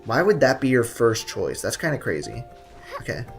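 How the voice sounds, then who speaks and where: Guy streaming a game, slangy jokey rambling to his chat, avg pitch, bit of music in the back. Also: big pitch swings, normal speed, vocal fry. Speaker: live streamer. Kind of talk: casual gaming stream chatter with viewers.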